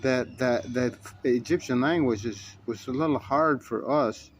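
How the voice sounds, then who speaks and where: A man tells a long first-person story in an unhurried, folksy drawl, doubling back and correcting himself as he goes. His pitch sits in an ordinary middle range, with a faint hum of ambience behind him. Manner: folksy, meandering, frequent restarts and self-corrections. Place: in his shop.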